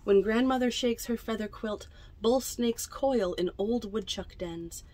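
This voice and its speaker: A young woman reads picture books aloud to children in an unhurried storytelling manner, adding chatty asides about the pictures. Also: a little high, dark tone, slight background noise. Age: young adult. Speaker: library storytime reader. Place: indoors among bookshelves in a small library bookmobile.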